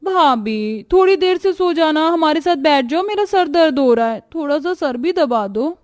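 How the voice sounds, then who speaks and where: A woman acts out a haughty, pampered young sister-in-law in a cartoon family story. The voice is high, whiny and entitled, always wheedling or ordering. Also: lively intonation, normal speed, a little mellow, faint music behind. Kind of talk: acted Hindi cartoon moral story.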